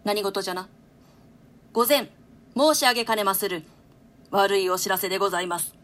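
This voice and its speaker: A woman's voice: mid-range voice, fairly even intonation, normal speed, fairly bright tone, natural voice. A woman playing deferential, solemn courtiers in a classical stage play, using formal, humble, archaic courtly speech. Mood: respectful, solemn.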